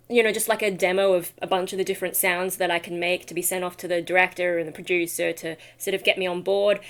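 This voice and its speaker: A woman's voice: on the low side, fairly bright tone. A woman chatting casually for a vlog in a tired, rambly, self-deprecating way with trailing asides. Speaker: vlogger and metal vocalist.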